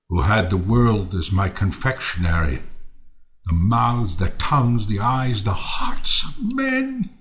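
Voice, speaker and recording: An actor playing a bitter, ruined Athenian nobleman turned misanthrope in a Shakespearean audio play, theatrical and scornful. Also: mid-range voice. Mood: bitter, wounded.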